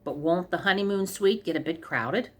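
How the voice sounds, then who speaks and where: A woman's voice: on the low side, normal speed, close to an everyday voice. A woman voicing a dull, cheeky young man in a storybook fairy tale, blunt and wisecracking.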